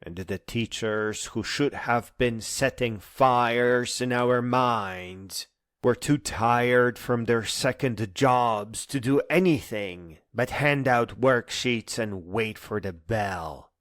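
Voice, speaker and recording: Guy doing slow serious spoken word, mid pitch, poetic pauses, really dry close sound. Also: fairly bright tone, no room sound. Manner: solemn, deliberate, poetic phrasing with pauses. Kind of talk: spoken-word essay, scripted monologue.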